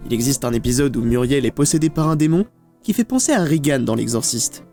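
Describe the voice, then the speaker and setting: A man reading scripted documentary-style narration for a video essay, in a measured, ominous storytelling manner. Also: medium pitch, animated, warm tone. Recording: music under the voice. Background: background music.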